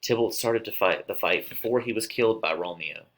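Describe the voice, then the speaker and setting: A man's voice: fairly low pitch, fairly quick, fairly bright tone, natural voice, low-quality recording. A man playing an earnest, loyal young nobleman giving his lines in a declamatory play reading.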